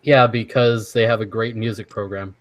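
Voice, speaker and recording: A young man from Washington state hosting a casual online chat, easygoing and quick to laugh as he reads quiz statements. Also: fairly low pitch, steady tone. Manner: easygoing, laughs often, halting restarts. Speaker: stream host.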